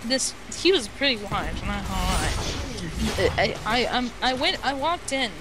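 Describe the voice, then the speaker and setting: A woman with a high voice tells a story off the cuff in a casual chat. The delivery is halting and rambling, slipping into quoted dialogue. It sounds like a noisy amateur voice chat. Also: very animated. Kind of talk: casual improvised storytelling over a game chat.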